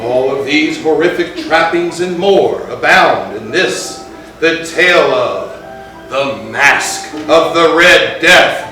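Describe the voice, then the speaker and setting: A man playing a sinister, theatrical horror host with a drawn-out, ominous delivery in a spooky stage introduction. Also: fairly high pitch, animated. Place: indoor theatre, recorded from far off. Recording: distant roomy hall recording with music.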